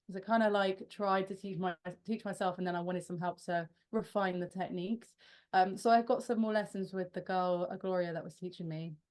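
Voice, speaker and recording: A woman's voice: fairly low pitch, steady tone, fairly quick, a little mellow. Chatty young woman singer, laughing often. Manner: chatty, self-deprecating, with laughs and restarts. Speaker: rock band frontwoman and singer. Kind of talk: casual interview answers.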